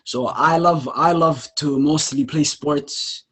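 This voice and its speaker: A man's voice: fairly high pitch, steady tone, slow pace, fairly bright tone, breathy edge. A young adult man from Botswana, a podcast guest, talking casually and hesitantly with many ums and restarts.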